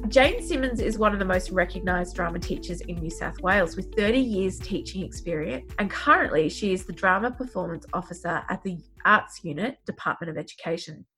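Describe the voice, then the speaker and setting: A woman's voice: on the low side, expressive, brisk, few pauses, fairly bright tone, soft background music. A woman hosting a podcast with a head cold that makes her sound sniffly and clogged up, reading introductions and chatting with guests. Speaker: podcast host. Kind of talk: scripted podcast intro and casual chat.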